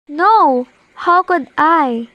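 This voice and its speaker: A woman's voice: high-pitched, animated, extremely slow, muffled recording, slight background noise, heavily acted. A young woman playing an imperious, nervous married lady in an amateur radio play, demanding and impatient. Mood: indignant. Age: teenager to young adult. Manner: demanding, agitated, impatient, haughty. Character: imperious, nervous, indignant married woman reporting an overheard murder plot.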